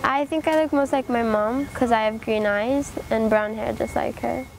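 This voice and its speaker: Young woman student, thoughtful, higher voice. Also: steady tone, moderate pace, gravelly, soft background music, poor audio. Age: young adult. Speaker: university student.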